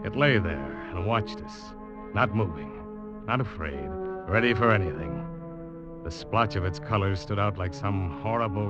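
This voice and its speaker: Male actor as American snake hunter, low dramatic narrator, determined yet haunted, old radio thriller, muffled with music. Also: close to an everyday voice. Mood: ominous awe. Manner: dramatic, deliberate storytelling.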